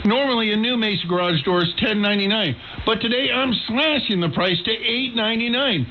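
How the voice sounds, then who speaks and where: Through dull radio sound with a little noise comes a high-pitched man. He delivers a sales pitch in the manner of a radio commercial. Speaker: company advertiser. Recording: muffled radio with faint noise.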